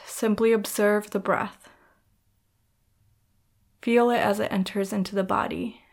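A woman's voice: medium pitch, gravelly. Soothing woman guiding meditation. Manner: soothing, measured instruction with gentle pauses. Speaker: podcast host and yoga nidra guide. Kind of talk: guided meditation narration.

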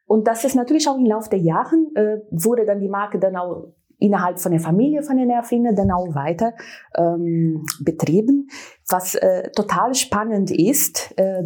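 Brazilian woman, husky and hesitant. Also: mid-range voice, darkish tone. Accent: Brazilian Portuguese. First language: Portuguese. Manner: hesitant, many fillers, enthusiastic. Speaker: guest, brand manager. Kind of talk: talk show interview.

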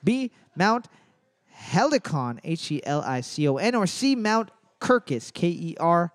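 A man with a high, very lively voice hosts a trivia night and jokes between questions. He reads the choices aloud and spells them out slowly. The sound is close and dry, with no room echo. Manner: animated, spells out answers slowly. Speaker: trivia host. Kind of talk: live bar trivia hosting with jokes.

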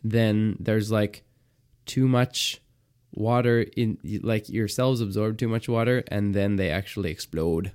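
A man with a raspy voice co-hosting a comedy podcast, chatty and explaining things with lots of fillers. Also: fairly low pitch, a little slow, a little mellow. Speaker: podcast co-host. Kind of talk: casual comedic chat.

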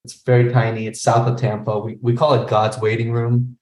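A man's voice: mid-range voice, little pitch movement, a little fast, a little mellow, dull audio. A young man from Colombia, a guest on a conversation show, tells his story in a friendly, candid tone. His voice sits in the middle range and echoes in a roomy space. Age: young adult. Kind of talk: casual interview.

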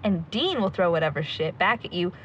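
A woman's voice: lowish voice, expressive, brisk, muffled recording, slight background noise, close to an everyday voice. A woman playing a blunt, bossy, outspoken young woman in an audio drama. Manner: outspoken, sweary.

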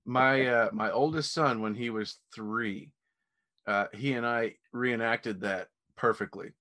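A male podcast host speaks in a casual, conversational way at an average pitch. He sounds enthusiastic and readily affirming, as in a relaxed interview. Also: unhurried.

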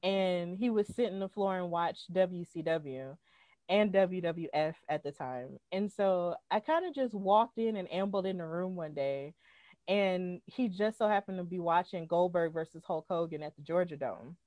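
A woman's voice: lowish voice, moderate pace, a little mellow, no echo. Upbeat woman podcast guest. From Alabama, United States. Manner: upbeat, laughs often, tells stories at length. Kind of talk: casual podcast chat.

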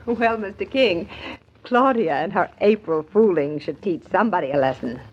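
An actress playing a sensible middle-aged mother, dry and gently scolding, in 1940s radio drama style. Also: lowish voice, expressive, normal speed, husky. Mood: genial. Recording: dull, faintly noisy old radio recording.

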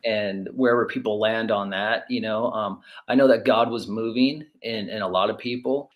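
A man's voice: on the low side, steady tone, normal speed, lo-fi sound. A man in his forties hosting a faith interview, speaking emphatically with fillers and restarts. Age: 40s. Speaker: host. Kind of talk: conversational interview.

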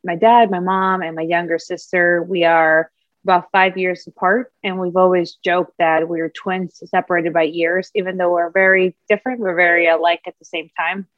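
Low-voiced woman coach, dull dry recording. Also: level tone, moderate pace, no echo. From Peru. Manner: earnest, reflective, with restarts. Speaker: career and executive leadership coach. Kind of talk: conversational interview answers.

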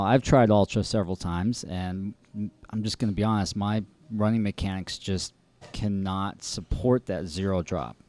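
A man's voice: lowish voice, darkish tone, dry recording. A man co-hosting a podcast, talking casually and frankly about trail running shoes, laughing easily. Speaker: podcast co-host.

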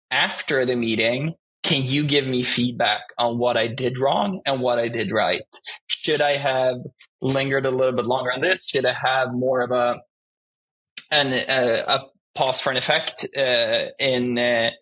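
Swedish-native young man, bright husky voice. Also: medium pitch, rough recording. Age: 20s. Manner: halting, searching for words, many restarts. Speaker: guest, art director. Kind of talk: casual interview talk.

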